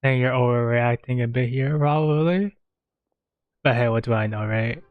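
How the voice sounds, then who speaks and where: A man's voice: medium pitch, normal speed, no echo, phone-quality sound. A man giving casual, offhand gameplay commentary with game music behind him. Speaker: gamer playing through a game's story quests. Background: video game music.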